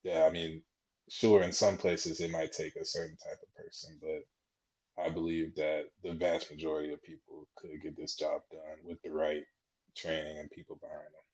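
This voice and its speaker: A young man with a very low, husky voice explains things casually as an interview guest. His delivery stays fairly flat and conversational, and the audio is dull and muffled. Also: moderate pace. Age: 20s. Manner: explanatory, conversational, frequent "you know". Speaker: podcast guest, air traffic controller trainee.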